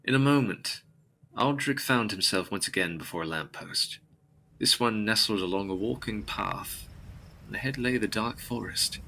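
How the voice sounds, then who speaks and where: A man with a husky voice narrating a gothic drama in a solemn, deliberate, theatrical way. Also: lowish voice, pushed and bright, slight background noise, poor audio.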